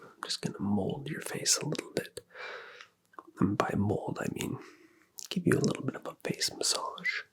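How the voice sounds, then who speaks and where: An ASMR performer speaking in a breathy whisper, soothing and flattering in a personal-attention roleplay. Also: low-pitched, moderate pace, pauses often. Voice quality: breathy, mostly unvoiced whisper.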